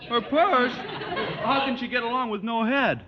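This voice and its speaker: A man's voice: extremely high pitch, a little slow, crowd noise. A man playing a guileless, dim-witted sixteen-year-old athlete in a 1950s radio sitcom. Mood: guileless, puzzled. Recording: muffled, noisy old radio broadcast.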